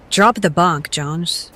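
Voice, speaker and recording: A woman's voice: on the low side, pushed and bright, full character voice. A woman acting an earnest, defensive hireling in an audio drama.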